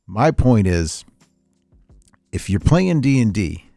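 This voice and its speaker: Rambling, opinionated man with a lowish voice. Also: very animated, takes it slowly, creaky, mellow, no room sound. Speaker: podcast host. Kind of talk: unscripted casual podcast talk.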